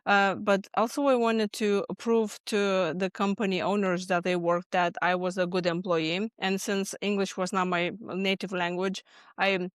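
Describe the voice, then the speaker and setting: A woman from Lithuania with a Lithuanian accent, a guest speaking earnestly about stress and often pausing with ums and restarts. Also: mid-range voice, level tone, smooth, no echo, clean studio sound. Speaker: podcast guest, stress management expert. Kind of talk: conversational interview answers.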